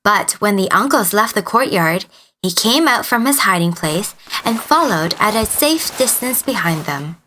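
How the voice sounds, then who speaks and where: A woman storyteller reading a scripted children's tale expressively, with deliberate dramatic emphasis. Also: medium pitch, expressive, bright, forceful tone, a little hiss or hum.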